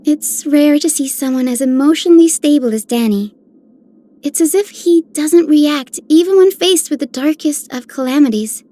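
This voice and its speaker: A woman voicing a bright, kindly young female healer in scripted video game lines. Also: high voice, lightly acted.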